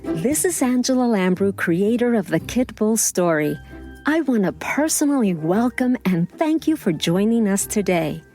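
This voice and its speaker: A woman's voice: medium pitch, big pitch swings, normal speed, warm tone. A woman delivering a scripted welcome as the host of a podcast. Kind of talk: scripted show introduction. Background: music.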